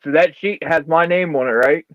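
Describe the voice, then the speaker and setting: A man hosting a casual chat, easygoing and quick to laugh. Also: on the high side, fairly bright tone, no room sound. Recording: dull, dry lo-fi mic.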